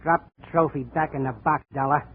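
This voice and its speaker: A man's voice: fairly high pitch, fairly even intonation, brisk, natural voice. An actor playing a nervous, shady small-time man in a 1950s radio drama, insistent and slangy. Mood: menacing. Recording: tinny, noisy old radio recording.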